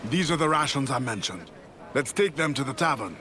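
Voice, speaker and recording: An actor playing a stern, principled pirate quartermaster in a video game, formal and commanding in manner. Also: male voice, mid-range voice, sing-song, brisk, bright, forceful tone. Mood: dutiful. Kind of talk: video game cutscene acting. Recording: dialogue over clear background noise.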